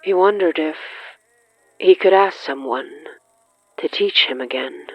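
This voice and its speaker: A narrator reading scripted dramatic horror fiction in a measured, deliberate way with dramatic pauses. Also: medium pitch, vocal fry, dry recording, muffled recording. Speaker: narrator of an audio fiction podcast. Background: faint music.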